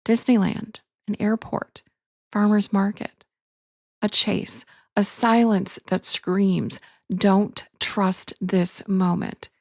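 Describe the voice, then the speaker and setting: A woman's voice: medium pitch, flat delivery, slow, vocal fry, no echo, studio quality. A woman podcast host reading scripted dramatic narration in short punchy phrases, addressing the listener directly.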